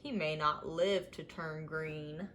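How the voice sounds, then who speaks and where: A woman's voice: low-pitched, fairly even intonation, slow, fairly bright tone, slight background noise. A woman voicing gloomy, disapproving old snakes in a storybook, fretting and scolding. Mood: disapproving worry. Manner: fretful, scolding.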